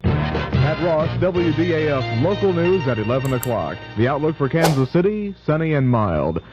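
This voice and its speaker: A man's voice: a little high, sing-song, moderate pace, over loud music. An American man reading scripted local news on 1970s radio. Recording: muffled old AM radio recording.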